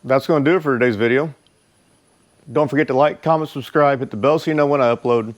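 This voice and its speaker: A man giving a casual product review as a motorcycle vlogger, speaking informally and haltingly. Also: medium pitch, muffled recording. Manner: informal, halting, unhurried delivery.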